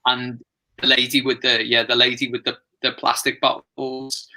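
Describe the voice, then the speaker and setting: A man's voice: medium pitch, completely flat delivery, normal speed, sharp tone, rough recording. A man taking part in a casual workshop discussion, thinking aloud hesitantly. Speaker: workshop participant.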